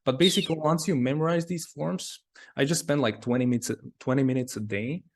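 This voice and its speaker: Brief: male interview guest, mid-pitched, casual and rambling, leans on "like" constantly. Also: a little fast. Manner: rambling, heavy use of "like". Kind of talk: casual conversation.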